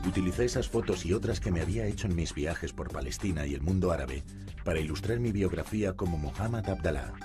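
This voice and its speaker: A man narrating a documentary in the first person, in a calm and measured way. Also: deep voice. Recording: music playing behind.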